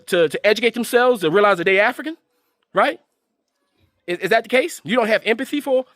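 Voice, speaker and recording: Male host for a heated commentary rant, high-pitched, dry close sound, profane and confrontational. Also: a bit bright, dry recording. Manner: confrontational, fires rhetorical questions; slangy, profane.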